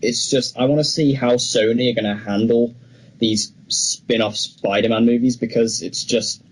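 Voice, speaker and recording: A man's voice: medium pitch, steady tone, normal speed, low-quality recording. A young man co-hosting a film podcast, talking casually and giving his opinions freely. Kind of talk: casual discussion of film news.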